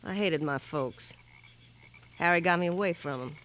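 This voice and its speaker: A woman's voice: lowish voice, normal speed, natural voice. An actress playing a restless, coaxing young married woman pleading with her lover in a 1950s radio drama. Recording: dull, faintly noisy 1950s radio recording.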